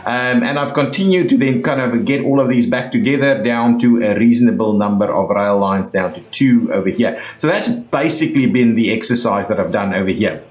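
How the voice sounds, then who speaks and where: A man's voice: mid-range voice, a little fast, hardly any pauses, echoey room. South African man chatting through a game. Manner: chatty, thinking aloud with many fillers. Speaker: gaming YouTuber. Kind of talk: casual game commentary.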